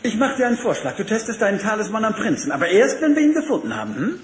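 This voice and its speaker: A wry archaeologist dad. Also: male voice, high-pitched, huge pitch swings, fast talker, a little hiss or hum. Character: male archaeologist uncle, calm, dry humor. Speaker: voice actor. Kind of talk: German radio play acting. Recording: roomy echo with music behind.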